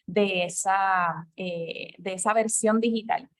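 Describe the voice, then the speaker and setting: A woman, mid-pitched, moderates a lecture Q&A for an archive. She speaks in a measured, formal way as she relays audience questions. Her voice is recorded close and dry. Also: fairly even intonation, dry recording.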